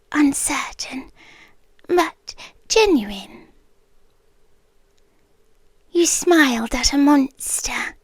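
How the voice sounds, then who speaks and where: A woman acts out an obsessive monster queen with a British accent in an ASMR roleplay. Her voice is high and hushed, often sinking into a whisper, very close and dry. She speaks slowly and regally, with a soft, possessive menace. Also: slightly rough, dry recording, full character voice. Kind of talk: ASMR roleplay, intimate scripted monologue.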